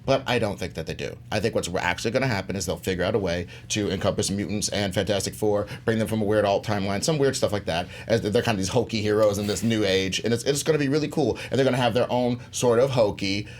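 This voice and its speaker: A man's voice: fairly low pitch, fast, hardly any pauses, slight background noise. A man debating informally as a contestant, slangy and emphatic, repeating phrases for punch. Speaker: debate contestant on a movie debate show. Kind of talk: informal pop-culture debate.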